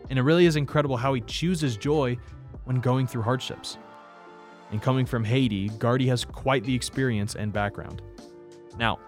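Easygoing young male podcast host. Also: mid-range voice. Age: 20s. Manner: easygoing, rephrases questions as he asks them. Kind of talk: scripted intro, then casual interview.

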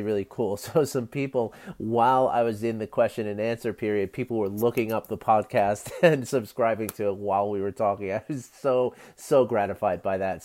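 A man hosting his own podcast, talking in a rambling, earnest way with lots of fillers and soft laughs, his voice scratchy and tired. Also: medium pitch. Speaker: podcast host. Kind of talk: casual solo podcast talk.

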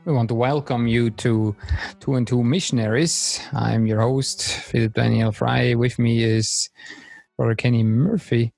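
A man from Switzerland with a Swiss German accent, a non-native English speaker hosting a missionary podcast in a halting, hesitant way. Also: medium pitch, fairly even intonation, creaky, a little mellow, faint music behind. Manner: hesitant, halting phrasing with fillers. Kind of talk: casual podcast conversation.

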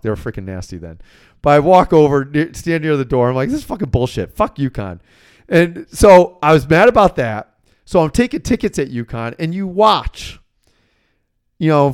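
Clear-voiced man telling stories on a sports podcast. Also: a little high. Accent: American. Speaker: sports betting podcast host and basketball coach. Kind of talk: casual solo podcast talk and storytelling.

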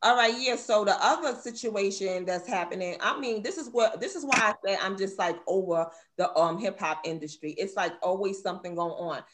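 A woman's voice: medium pitch, a little fast, few pauses. Blunt New woman hosting live. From Brownsville, Brooklyn. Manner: blunt, gossipy, laughs often. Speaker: host. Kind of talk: casual live online panel chat.

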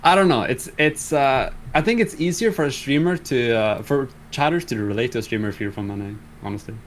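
A man's voice: mid-range voice, fairly quick. A man on a streamer podcast works through his thoughts hesitantly, restarting sentences. His voice is mid-range, with faint background noise on his line. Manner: hesitant, many ums and restarts. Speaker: streamer guest. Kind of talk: casual chat.

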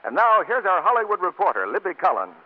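Animated male announcer on tinny old radio. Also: high voice, quick pace. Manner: polished sales delivery. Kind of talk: scripted 1940s sponsor announcing. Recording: tinny 1940s radio recording.

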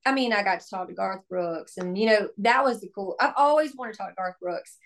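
A young woman, a country singer, chatting upbeat in a casual podcast interview, her voice hoarse from laryngitis and laughing often. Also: mid-range voice, a little fast.

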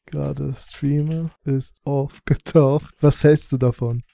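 Mellow, laid-back young man streaming. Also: fairly high pitch, takes it slowly, creaky, no echo. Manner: relaxed, laughs often, reads chat aloud. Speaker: podcast co-host and streamer. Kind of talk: casual chat while live streaming.

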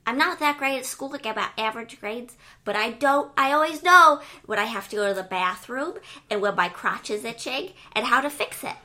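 A woman's voice: a little high, lively intonation, brisk, few pauses, pushed and bright. A woman voicing a naive, earnest little boy in an improv comedy bit, talking bluntly and matter-of-factly. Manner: blunt, matter-of-fact kid talk.

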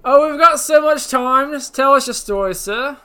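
Comic game show host, voiced by a man: very high, bright, blustering rambler. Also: moderate pace, full character voice. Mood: rambling. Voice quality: high squeaky put-on voice with slangy blustering delivery. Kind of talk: comedic character monologue on a podcast. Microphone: podcast mic.

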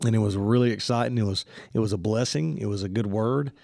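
A man's voice: fairly low pitch, creaky, darkish tone, dry recording, studio quality. A man hosting a church podcast, sounding congested and talking casually with many fillers. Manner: friendly, halting with many fillers. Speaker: podcast host.

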